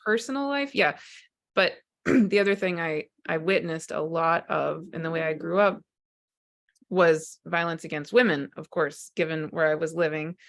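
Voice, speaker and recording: Low-voiced woman host. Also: normal speed. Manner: open, sharing personal stories with light laughs. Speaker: interview host. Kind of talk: casual personal conversation.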